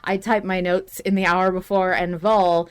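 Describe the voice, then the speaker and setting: A woman's voice: fairly low pitch, little pitch movement, moderate pace, smooth. A woman co-hosting a podcast in casual chat, with a playful, joking, slangy way of talking. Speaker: podcast co-host.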